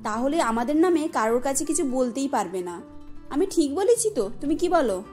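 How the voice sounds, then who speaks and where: Woman acting a high-pitched, scheming young wife. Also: expressive, normal speed, darkish tone, soft background music. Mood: nagging, sly. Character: scheming, sharp-tongued young wife and daughter. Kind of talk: cartoon story voice acting.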